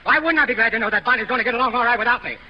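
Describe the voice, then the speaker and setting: An actor playing a brash, bitter, hard-drinking burlesque comedian in a slangy, theatrical 1930s radio drama style. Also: male voice, extremely high pitch, very fast, slight background noise. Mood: forced cheer, bitter. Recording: muffled, echoey 1930s radio broadcast.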